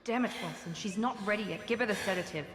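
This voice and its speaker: A woman's voice: mid-range voice, fast talker, background noise, lightly acted. A woman playing a commanding, controlled project director in a sci-fi video game, with clipped authority. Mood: urgent, controlled. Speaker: game character voice actor. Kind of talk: cinematic sci-fi game acting. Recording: echoey room under loud music.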